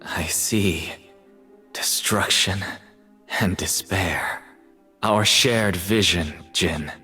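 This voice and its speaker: A man playing a brooding, haunted artist mage in a fantasy video game, with a breathy, husky voice and solemn, poetic delivery. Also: low-pitched, drawn-out words, pushed and bright, far from the mic, exaggerated voice. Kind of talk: video game character acting. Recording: game audio clips over music.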